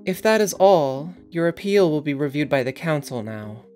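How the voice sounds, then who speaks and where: A woman playing stern, cold council overseers in an audio drama, severe and deliberate with an authoritative manner. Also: low voice, animated, darkish tone, soft background music. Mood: cold, impatient. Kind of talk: scripted audio drama interrogation.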